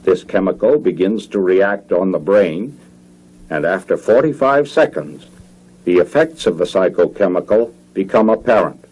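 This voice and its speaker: A low, mellow American male narrator from a 1950s educational film. His delivery is formal and measured, with careful enunciation and a slightly husky grain to the voice. It has the sound of a vintage documentary soundtrack. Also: lively intonation, rough recording.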